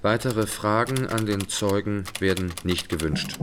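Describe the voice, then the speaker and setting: An actor as a deep, clipped court clerk. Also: male voice, a little slow, over music, close to an everyday voice. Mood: officious. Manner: clipped, official. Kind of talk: radio drama court announcement. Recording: loud typewriter clatter behind voice.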